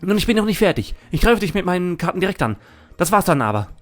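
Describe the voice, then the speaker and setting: A man's voice: high voice, sing-song, quick pace, soft background music, voice pushed up. A man voicing a cocky, sneering duelist who taunts and boasts, in an anime fan audio drama. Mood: taunting. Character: cocky, sneering male Thunder Dragon card duelist.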